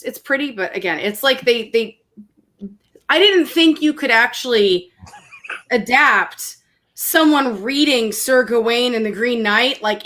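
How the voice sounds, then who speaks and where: A woman chatting casually, speaking in an opinionated, emphatic and animated way. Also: on the high side. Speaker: guest film commentator. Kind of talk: casual chat.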